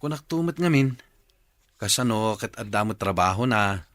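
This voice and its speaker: An actor playing a concerned husband who questions and advises, with an Ilocano accent, in a radio drama. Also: male voice, lowish voice, expressive, normal speed, a bit bright, no echo. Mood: advising.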